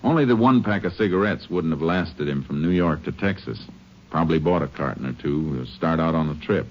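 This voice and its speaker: Casting: calm Ranger investigator, very low voice, clipped and matter-of-fact, old radio western with dull hissy sound. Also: male voice, fairly quick. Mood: businesslike, probing. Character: calm, methodical male Texas Ranger investigator. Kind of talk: 1950s radio western drama acting and narration. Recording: dull old radio recording with clear hiss.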